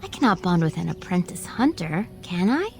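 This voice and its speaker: A woman's voice: fairly low pitch, big pitch swings, normal speed, vocal fry, a little mellow, soft background music. A woman playing an earnest, lovelorn young elf in a fantasy video game, speaking in formal phrases. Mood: troubled.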